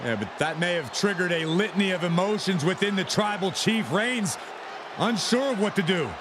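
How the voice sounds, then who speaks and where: High-pitched male wrestling commentator over loud crowd. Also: expressive, normal speed, noisy background. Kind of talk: excited sports commentary. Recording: loud arena crowd and music behind. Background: roaring crowd, music, slams.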